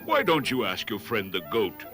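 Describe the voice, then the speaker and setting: A man's voice: on the low side, wildly swooping pitch, normal speed, sharp tone, soft background music, exaggerated voice. A man voicing a busy, evasive bull making excuses in a cartoon fable. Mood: apologetic. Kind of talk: animated fable dialogue.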